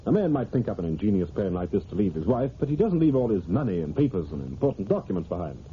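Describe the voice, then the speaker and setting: An actor playing a rational, unruffled amateur detective in an old radio drama, with a dry, quick-witted delivery. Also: male voice, on the low side, sing-song. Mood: matter-of-fact. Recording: tinny, noisy old radio recording.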